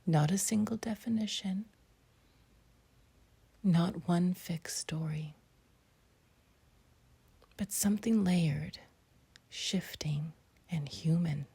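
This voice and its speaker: A woman guides a meditation in a very husky, breathy voice that sits close to a whisper. Her tone is mellow and on the low side, with a creaky edge. She delivers short, gentle phrases and leaves long pauses between them.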